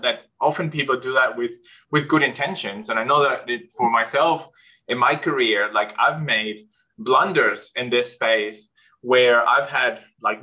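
A man interviews a guest, his questions full of restarts, "um"s and "like"s. His voice is bright and forceful at an ordinary male pitch. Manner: hesitant, many restarts and "um", "like". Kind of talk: conversational interview.

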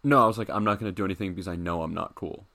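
Low-voiced easygoing young man. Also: very fast, sharp tone. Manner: easygoing, self-deprecating. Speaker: podcast co-host. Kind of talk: casual sports chat.

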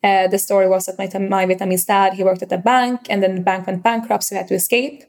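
A woman's voice: mid-range voice, flat delivery, quick pace, a little mellow. Hesitant Swedish-accented woman. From Sweden. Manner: hesitant, many fillers and restarts. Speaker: startup founder, guest. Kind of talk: personal interview answers.

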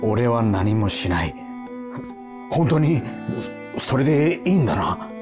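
A man's voice: medium pitch, big pitch swings, slow pace, music in the background. An actor in an audio drama playing a stern, blunt time patrol officer who is torn over his duty. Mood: grave, resigned. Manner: blunt, gruff, weighty speech.

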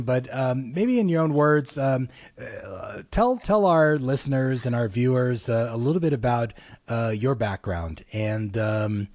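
A man's voice: mid-range voice, a little mellow, dry recording. A man hosting a talk show, chatty and loose, with many fillers and restarts. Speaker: host.

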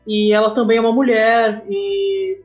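A woman's voice: a little high, very animated, unhurried, darkish tone. A woman with a Brazilian accent, chatting casually as a podcast guest. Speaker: comic artist, podcast guest. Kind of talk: casual interview chat. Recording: dull lo-fi sound, faint music.

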